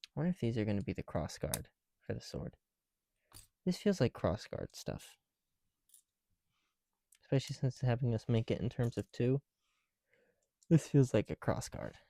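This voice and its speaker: A tired man speaking softly and casually in an ASMR style, musing to himself as he works. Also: mid-range voice, sing-song, moderate pace, creaky, pauses often, dry recording, clean studio sound. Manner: hushed, musing to self while counting pieces. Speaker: ASMR video creator. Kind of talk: soft-spoken ASMR, casual chat while building.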